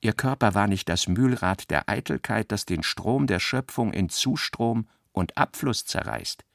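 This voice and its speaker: A man's voice: fairly low pitch, animated, unhurried, vocal fry, no echo, studio quality. A man reading literary prose as the narrator of a radio drama.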